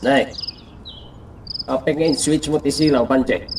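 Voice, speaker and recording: A man's voice: mid-range voice, fairly even intonation, unhurried, recorded from a distance, natural voice. A man acting a defensive, insistent office co-worker in a radio drama. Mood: confronting. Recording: off-mic, noisy with insect-like sounds.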